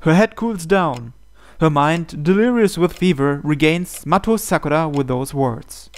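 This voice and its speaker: A man's voice: on the high side, unhurried. A man reading visual novel narration aloud in a dramatic way. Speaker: gaming channel host. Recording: game music behind the voice. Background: background music from the game.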